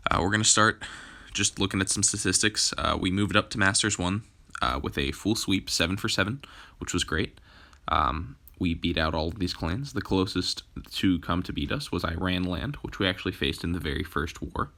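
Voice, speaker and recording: Deep-voiced man, a bit scratchy. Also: normal speed. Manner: relaxed, frequent "uh" and "um" fillers. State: slightly hoarse from a cold. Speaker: gaming channel host. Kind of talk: casual gameplay commentary.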